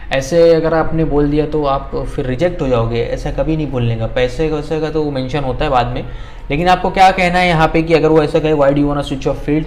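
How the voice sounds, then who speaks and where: A man teaching spoken English in a casual lesson, dropping "okay" often and slowing right down to say English example phrases. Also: a little high, a little mellow, slight background noise. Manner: conversational, repeats "okay" and slows down to spell out English phrases.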